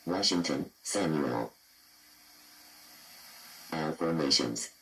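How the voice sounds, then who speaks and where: Man as a very deep, cold computer announcer. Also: animated, takes it slowly, sharp tone, full character voice. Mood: cold, clinical. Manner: clipped, impassive, announcing in short fragments. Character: cold clinical computer system reading patient files. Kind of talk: scripted horror database readout.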